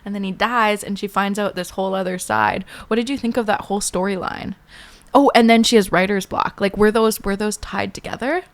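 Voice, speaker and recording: A woman's voice: medium pitch, fairly even intonation, fairly quick. A woman co-hosting a podcast, chatting casually about books with a friend in an opinionated, giggly way. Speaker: podcast co-host. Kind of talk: casual book chat between friends.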